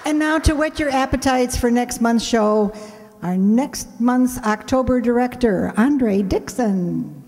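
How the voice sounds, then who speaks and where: Mellow-voiced woman in a reverberant hall. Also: a little high, animated, slow. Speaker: radio play director and show host. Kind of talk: casual announcements to an audience. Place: indoor hall, live stage event.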